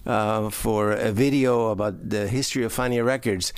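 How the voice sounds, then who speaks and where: A man hosting a radio interview, relaxed, chiming in with short agreements. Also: fairly low pitch, normal speed, clean tone, no echo. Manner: laid-back, brief affirmations. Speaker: radio host. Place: in person in New York.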